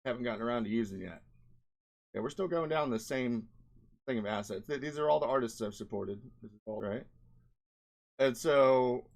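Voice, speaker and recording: A man's voice: mid-range voice, normal speed, creaky, pauses often. A man narrating a casual screen-share vlog as an indie game developer, rambling conversationally and often checking in with "right?" and "you know".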